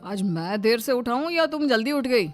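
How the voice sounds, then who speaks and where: Woman voicing a calm, placating family man. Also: on the high side, normal speed, natural voice. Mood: calm, reassuring. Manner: reassuring, explanatory. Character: calm, placating family men, husband and father-in-law. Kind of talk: cartoon moral story acting. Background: faint music.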